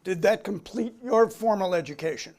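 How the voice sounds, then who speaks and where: Man reading as high-voiced, formal committee counsel. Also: moderate pace, a little mellow. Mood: formal, probing. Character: formal, insistent male committee counsel questioning a witness. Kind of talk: dramatic reading of a hearing transcript.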